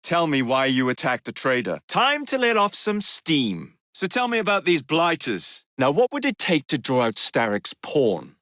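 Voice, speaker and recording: A brash young English assassin for a video game, his delivery swinging widely in pitch. He is roguish and sardonic, with a theatrical streak. The sound is close and dry. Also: wildly swooping pitch, moderate pace, hardly any pauses, bright, forceful tone, no echo, exaggerated voice. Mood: menacing. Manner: wry, cheeky, theatrical.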